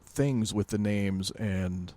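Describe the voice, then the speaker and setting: A man co-hosting a podcast in casual pop-culture chat, halting and rambling as he corrects himself. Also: lowish voice, dark tone. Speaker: podcast co-host.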